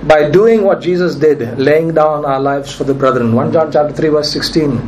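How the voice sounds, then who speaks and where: Male pastor, high voice, strained from preaching, emphatic, faint background hiss. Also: normal speed, a little mellow, poor audio. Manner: emphatic preaching, repeats key phrases. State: nearly lost his voice. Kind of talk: sermon.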